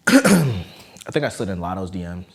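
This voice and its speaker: A man's voice: sits low, sing-song, takes it slowly, gravelly. A young man, a content creator, in casual sit-down chat with playful, laughing banter.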